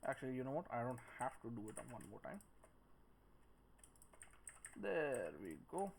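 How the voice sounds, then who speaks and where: A man with a mid-pitched voice narrates a software tutorial in a casual way, talking himself through each step as he works. The recording has clear background noise, with keyboard typing and mouse clicks. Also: big pitch swings, normal speed. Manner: talks through each step while working, muttering counts and shortcuts. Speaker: 3D modelling instructor.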